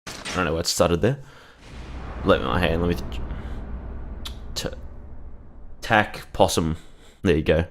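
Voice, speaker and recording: A man's voice: low-pitched, unhurried, pauses often, slight background noise. A man streaming a game, talking casually and rambling, with sarcastic jokes between his comments. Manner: joking, rambling, sarcastic asides. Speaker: gaming streamer.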